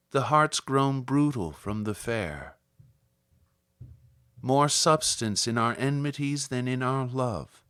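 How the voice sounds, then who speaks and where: Slow, measured man reciting poetry. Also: mid-range voice, a bit bright, dry recording, studio quality. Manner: measured, solemn delivery with deliberate phrasing. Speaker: poetry reader.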